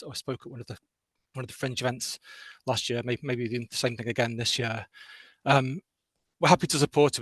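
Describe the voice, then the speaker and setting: A man speaking as a guest on a webinar from an office over a video call, talking quickly with many restarts and fillers. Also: medium pitch, a bit bright, no echo. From not from the Northeast of England. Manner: fast, with frequent restarts and fillers. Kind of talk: webinar talk.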